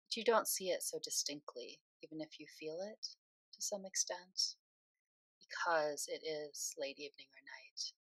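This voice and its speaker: A woman leading a guided meditation in a soothing, unhurried way, speaking phrase by phrase with pauses. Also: deep voice, a bit bright, dry recording, poor audio.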